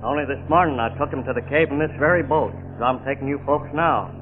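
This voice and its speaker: A man's voice: medium pitch, quick pace, a little hiss or hum, lightly acted. An actor playing a folksy, plain-spoken male boatman in an old radio drama. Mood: troubled. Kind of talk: 1940s radio drama acting. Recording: tinny old radio, music behind.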